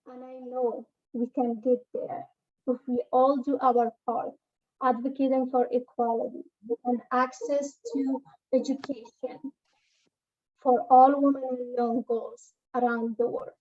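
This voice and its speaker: Woman in her thirties from Afghanistan, panelist, bright high register, muffled call audio. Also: level tone, measured pace. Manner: earnest, with frequent fillers and restarts. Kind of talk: personal story and poem recital on a panel. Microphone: video call.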